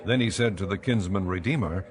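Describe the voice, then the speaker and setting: A man narrating scripture from a script in a measured, solemn manner. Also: low voice, a crowd in the background.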